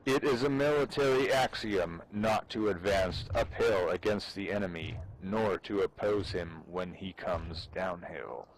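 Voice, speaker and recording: Male reader, lowish voice, slow and grave audiobook-style delivery, faint rumbling noise behind a game voice chat. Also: rough recording. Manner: solemn, deliberate delivery with pauses. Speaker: amateur reader performing for fellow gamers. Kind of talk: dramatic audiobook-style reading of a military treatise.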